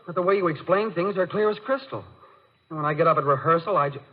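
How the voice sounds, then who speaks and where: An actor playing an eager, polite young college student in old radio comedy-drama, stammering in a boyish, deferential way. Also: male voice, a little high, fast talker, close to an everyday voice. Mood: bashful, eager. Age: young adult. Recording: old radio recording, narrow phone-like band.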